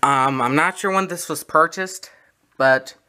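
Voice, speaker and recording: A person giving a casual, halting, matter-of-fact show-and-tell narration, recorded on a handheld camera in a room at home. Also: medium pitch, sharp tone, slight background noise. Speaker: video creator showing a radio collection. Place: small room at home, indoors. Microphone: handheld camera close by.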